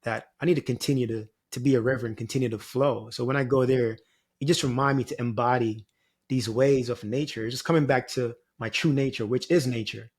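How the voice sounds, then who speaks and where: A man's voice: mid-range voice, fairly even intonation, brisk, husky. A man from Jamaica, talking reflectively as an interview guest in a meandering, informal way peppered with "you know" and "like". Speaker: spiritual guide, podcast guest.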